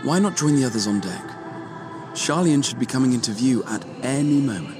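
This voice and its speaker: Lively-pitched, wry adventurer played by a man. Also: fairly quick, over music, close to an everyday voice. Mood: wry, relaxed. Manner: wry, courteous, conversational. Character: wry, genial adult male adventurer companion. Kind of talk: fantasy video game dialogue.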